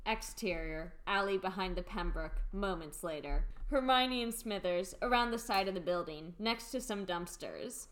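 A woman's voice: mid-range voice, lively intonation, normal speed, hardly any pauses, fairly bright tone, rough recording. A woman reading scripted stage directions as the narrator of a radio play.

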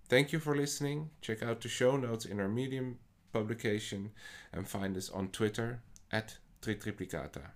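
A man with a Dutch accent, hosting a poetry podcast in a measured, scripted way. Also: lowish voice. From Netherlands. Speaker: podcast host. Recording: faint music behind the voice.

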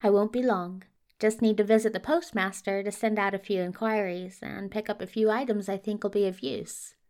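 A woman plays a genteel fantasy hero in an audio drama. He is fussy and courteous, speaking in prim, wordy sentences at a medium pitch. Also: fairly even intonation, moderate pace, darkish tone, close to an everyday voice. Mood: cheerful, fussy. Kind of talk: acted fiction podcast dialogue.

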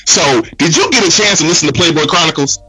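A man's voice: fairly high pitch, expressive, quick pace, pushed and bright, rough recording. A man guesting on a casual podcast, a laid-back storyteller who keeps saying "real talk" and "man". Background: background music track.

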